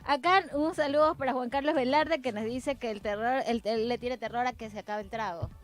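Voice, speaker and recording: Woman from a casual chat show, highish voice, co-host, music in background. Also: fairly even intonation, brisk.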